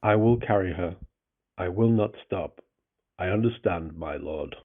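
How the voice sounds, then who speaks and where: A man's voice: low-pitched, a little slow, husky, narrow, tinny audio. A man playing a young Imperial sergeant in an audio drama, deferential and dazed, answering in a robotic way. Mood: dazed obedience. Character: young male Imperial sergeant, obedient, mind-tricked, dazed.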